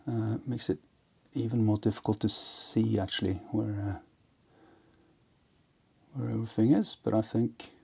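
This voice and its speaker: A man's voice: lowish voice, vocal fry, darkish tone, slightly rough. A man giving a casual hands-on demonstration, thinking aloud hesitantly as he works. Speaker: host of an electronics and ham radio build video.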